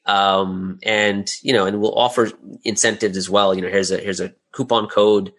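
Low-voiced man explaining casually, hesitantly. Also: steady tone, moderate pace. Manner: informal, hesitant, often repeating words mid-thought. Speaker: interview guest, audio drama creator and business owner. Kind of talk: casual explanatory interview talk.

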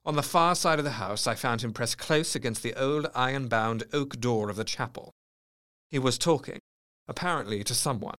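A man's voice: medium pitch, normal speed, fairly bright tone, close to an everyday voice. An actor playing a grave, melancholy Victorian asylum doctor, narrating his diary precisely and earnestly in a gothic audio drama. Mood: urgent.

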